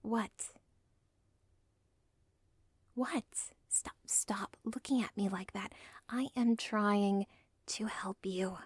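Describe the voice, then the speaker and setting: A woman's voice: mid-range voice, fairly even intonation, drawn-out words, pauses often, slightly rough, dry recording. A woman voicing an unstable, lovestruck young villain's daughter in an ASMR role play, speaking in an intimate, pleading whisper. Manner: intimate, pleading, self-aware.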